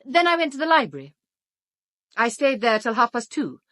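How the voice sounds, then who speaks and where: An actress playing a haughty, defensive, sharp-tongued suspect in a radio play, with an arch, prickly manner. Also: female voice, fairly high pitch, very animated, normal speed, no echo, studio quality. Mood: prickly, sarcastic.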